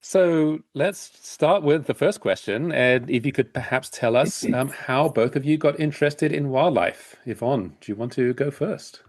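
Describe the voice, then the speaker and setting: A man's voice: mid-range voice, moderate pace, few pauses, a little mellow. Male podcast host in easy conversation. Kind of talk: casual conversational podcast hosting.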